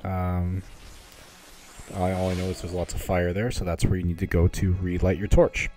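A man's voice: deep voice, flat delivery, normal speed, dark tone. A man giving a casual video game walkthrough in an informal, slightly hesitant, conversational way. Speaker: video game guide host. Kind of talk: casual gameplay walkthrough commentary.

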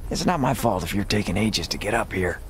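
An actor playing a grim, determined western gunslinger in a video game, speaking tersely with a dry drawl. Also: male voice, on the low side, lively intonation, a little fast, a little hiss or hum, lightly acted. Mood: dry resolve. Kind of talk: video game cutscene dialogue.

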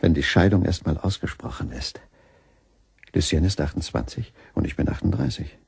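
Brooding husband in his late thirties, radio drama, dark mellow tone, terse, low. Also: moderate pace, creaky, slightly rough, full character voice. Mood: pensive. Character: brooding 38-year-old husband, railway dining-car worker, shaken. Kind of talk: German radio crime drama acting.

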